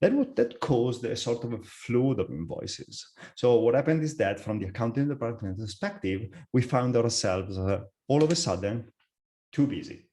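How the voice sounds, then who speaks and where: A middle-aged man with an Italian accent, presenting a live software webinar with frequent fillers and restarts. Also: mid-range voice, expressive, moderate pace. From Italy. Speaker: software architect, webinar speaker.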